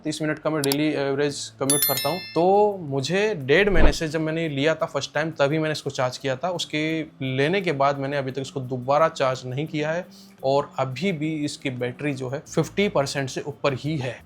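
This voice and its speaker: Indian male reviewer, slightly raised pitch, casual and explanatory, restating key points. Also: a little hiss or hum. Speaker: product reviewer. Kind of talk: casual spoken product review.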